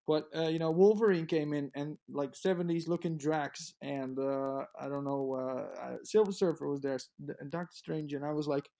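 A man from Europe, a guest recalling comics in a halting way with many restarts, laughing easily. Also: fairly high pitch, gravelly, dark tone. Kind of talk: casual interview chat.

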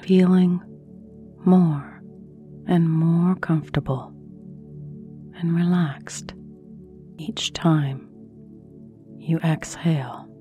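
A woman guides a sleep meditation extremely slowly, in a low, mellow voice. Her soothing phrases trail off into breathy near-whispers between long pauses, over faint ambient music. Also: little pitch movement.

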